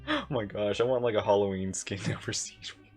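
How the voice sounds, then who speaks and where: A woman giving casual livestream reaction commentary on a game, halting, informal and giggly. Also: a bass voice, animated, a little mellow. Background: game music.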